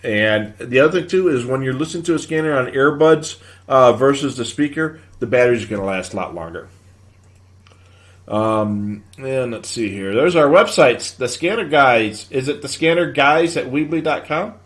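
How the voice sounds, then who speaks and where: A man with a mid-pitched voice hosts a casual live hobby show. He explains technical points in a conversational, halting way, as if working through questions from viewers. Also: expressive, fairly bright tone.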